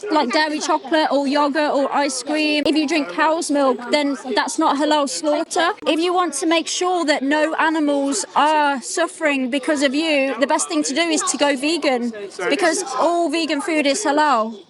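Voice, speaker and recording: High-pitched woman doing street outreach, earnest and pushy-but-polite, loud crowd all around. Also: fairly even intonation, moderate pace. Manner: earnest, persuasive, asks probing questions. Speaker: street interviewer. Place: outdoors in a busy public street. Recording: outdoor street recording with crowd noise.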